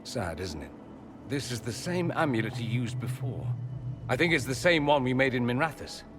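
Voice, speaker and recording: An actor playing a suave mage in a video game, dry-witted and dramatic with a wounded streak. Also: male voice, medium pitch, animated, normal speed, background noise, lightly acted. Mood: somber. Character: suave, theatrical mage man, wry and wounded. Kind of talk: video game cutscene acting. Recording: music behind the voice.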